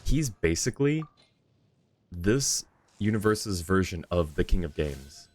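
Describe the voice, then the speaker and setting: A man's voice: sits low, sing-song, a little slow, a little mellow, a little hiss or hum. A man casually chatting and commentating on a game livestream, thinking aloud in a rambling way. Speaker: game streamer and host. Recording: music playing behind the voice. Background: game music.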